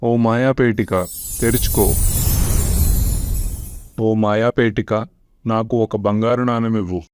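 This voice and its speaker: A man's voice: fairly low pitch, steady tone, slow pace, background noise. A man playing a poor, devout farmer who longs for riches in a children's cartoon story, speaking in an earnest, pleading manner. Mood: eager.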